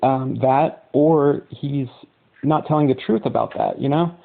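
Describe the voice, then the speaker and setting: Guy asking questions on a phone call, calm and chatty, tinny sound. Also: mid-range voice, unhurried, vocal fry. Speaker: interviewer, channel host. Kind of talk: phone interview.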